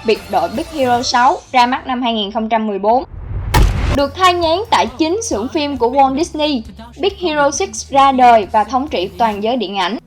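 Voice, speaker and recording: A woman's voice: sits high, moderate pace. A woman reading scripted narration as the host of a countdown video. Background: background music.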